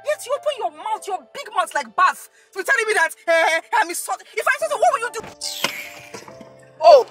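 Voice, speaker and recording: A woman playing a hot-tempered, money-proud Nigerian woman in a comedy skit, scolding in loud, indignant, repeated phrases with a Nigerian accent. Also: very high-pitched, big pitch swings, a little fast, music in the background. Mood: loud outrage.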